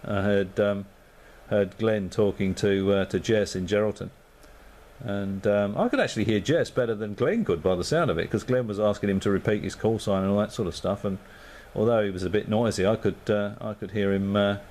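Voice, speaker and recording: A man's voice: fairly low pitch, fairly quick, a little mellow. A man on amateur radio, chatting casually and thinking aloud with lots of fillers. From Australia.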